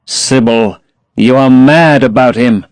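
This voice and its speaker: A man's voice: mid-range voice, slow pace, gravelly, darkish tone, no room sound. A man doing a sullen protective brother. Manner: sullen, blunt.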